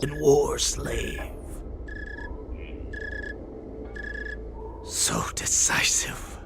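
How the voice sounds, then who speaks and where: An actor playing a proud, devoted wolf-beast warrior in a video game, growling in slow, solemn, halting phrases. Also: male voice, mid-range voice, huge pitch swings, vocal fry, whispered, heavily acted. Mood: grim admiration.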